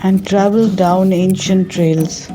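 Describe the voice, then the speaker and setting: A woman reading a scripted, poetic introduction for a show in a measured way. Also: mid-range voice, steady tone, poor audio. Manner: slow, measured, poetic delivery. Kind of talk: scripted promo narration. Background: music.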